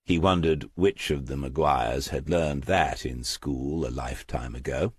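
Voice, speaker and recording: A man narrating crime fiction from a script in a measured, literary storytelling manner. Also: a bass voice, slightly rough, no room sound, clean studio sound. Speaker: audiobook narrator.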